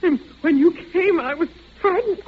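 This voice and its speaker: An actress playing a timid, distraught mother-in-law, tearful and halting, in 1940s radio style. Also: very high voice. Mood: frightened. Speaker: actor. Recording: thin, phone-like old radio recording.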